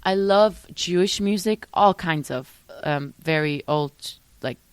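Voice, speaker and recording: A young woman from Switzerland chats about her music in a relaxed interview. Her voice sits on the low side and sounds close and dry, with no room around it. She talks in an animated way, breaking off and restarting as she thinks. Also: slow, clear, resonant tone, dry recording. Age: young adult. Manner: animated, trails off and restarts often. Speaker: musician guest. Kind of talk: casual interview chat.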